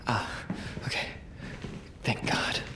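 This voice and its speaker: An actor playing a nervous male podcaster alone in an abandoned building, talking to himself in hushed whispers. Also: slow, close to an everyday voice. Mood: tense. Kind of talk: audio drama acting. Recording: echoey space with faint low rumble.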